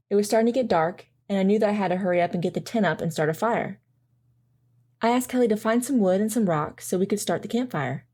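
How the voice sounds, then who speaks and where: A woman's voice: mid-range voice, darkish tone, close to an everyday voice. A woman playing a flustered, self-doubting mother who narrates and talks in an audio drama.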